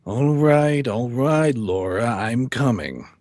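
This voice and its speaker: Man playing stern, expressive middle-aged radio-drama judge. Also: medium pitch, slight background noise. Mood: composed. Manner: formal, measured delivery. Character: stern male judge, weary husband confiding his downfall. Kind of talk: old-time radio drama acting and first-person narration.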